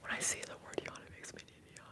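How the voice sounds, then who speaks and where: A woman hosting an ASMR live stream, whispering softly as she rambles and greets viewers in the chat. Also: fast. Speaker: ASMR livestream host.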